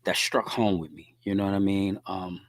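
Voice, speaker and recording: Hoarse, laid-back male podcast host. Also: low-pitched, steady tone, moderate pace, no echo. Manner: laid-back, talks straight to viewers, reads out comments. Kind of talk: casual solo livestream chat.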